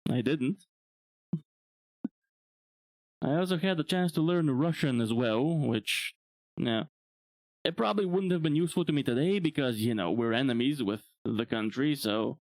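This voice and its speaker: A man's voice: mid-range voice, animated, normal speed, pauses often, dry recording. A young man from Bulgaria, a native Bulgarian speaker, chatting casually on a live stream, rambling and chuckling often. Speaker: VTuber streamer.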